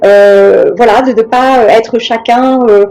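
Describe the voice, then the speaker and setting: Hesitant woman with a mellow voice. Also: a little high, fairly even intonation, faint music behind, poor audio. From France. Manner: hesitant, many pauses and restarts. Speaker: guest. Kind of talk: reflective interview talk.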